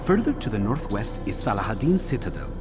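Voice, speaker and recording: An actor playing a sardonic, theatrical bureau keeper in a video game, with arch, teasing, drawn-out phrasing. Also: male voice, lowish voice, big pitch swings, music in the background. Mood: wry, informative. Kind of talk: video game cutscene acting.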